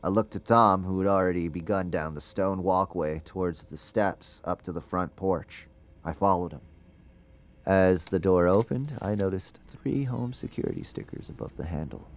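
A man's voice: low-pitched, moderate pace. An actor playing a ghost hunter who narrates his investigation in a scripted first-person audio drama. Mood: measured, observant.

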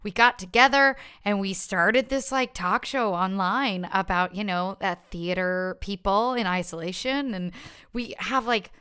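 Bubbly, laughing Canadian woman, bright voice. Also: medium pitch, measured pace, smooth. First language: French. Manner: bubbly, laughs often, chatty. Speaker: podcast guest, stage performer. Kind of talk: casual chat.

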